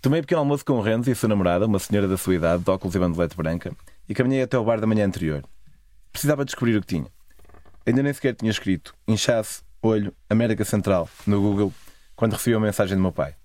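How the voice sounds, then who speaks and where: A man's voice: fairly low pitch, animated, rapid-fire, a little mellow, dry recording. A man with a European Portuguese accent narrating a scripted, literary first-person travel story. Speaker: narrator of own travel stories.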